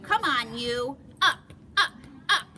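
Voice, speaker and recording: A woman voicing a loud, bossy female capybara bully who shouts and blusters indignantly. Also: high voice, expressive, takes it slowly, a crowd in the background, voice pushed up. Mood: shouting.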